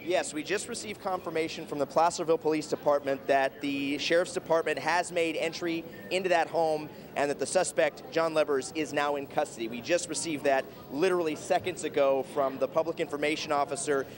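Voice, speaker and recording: A man's voice: fairly high pitch, steady tone, fairly quick, hardly any pauses. An American man reporting breaking news live from the field.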